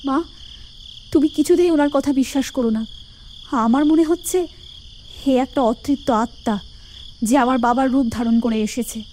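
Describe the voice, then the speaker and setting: A woman's voice: high-pitched, moderate pace, pauses often, warm tone, slight background noise, natural voice. A woman voicing a defiant young daughter in a cartoon, insistent and pleading. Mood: suspicious.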